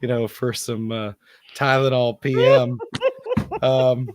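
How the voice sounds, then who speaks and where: A man hosting a live online chat, hoarse from losing his voice and talking in a rambling, halting way. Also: mid-range voice, flat delivery, no room sound. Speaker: host. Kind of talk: casual online hangout chat. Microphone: computer mic on a live video call.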